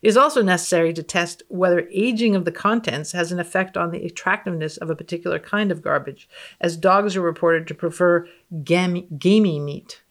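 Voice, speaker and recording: A woman's voice: fairly low pitch, a little fast. Dry, matter-of-fact woman podcast guest. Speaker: physicist guest. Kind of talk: dramatic reading and banter on a podcast.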